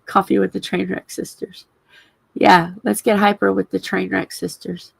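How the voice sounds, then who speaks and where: A woman's voice: medium pitch, little pitch movement, normal speed, creaky, husky, no echo, rough recording. A woman co-hosting a casual crafting live stream, tossing out dry, teasing jokes. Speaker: co-host.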